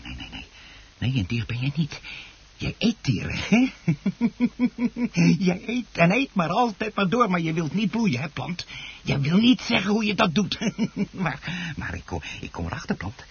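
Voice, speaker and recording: An actor playing an obsessive, unhinged plant grower in a radio drama, muttering and repeating himself between hushed passages and outbursts. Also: male voice, on the high side, slightly rough, heavily acted. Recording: dull, muffled old radio play recording.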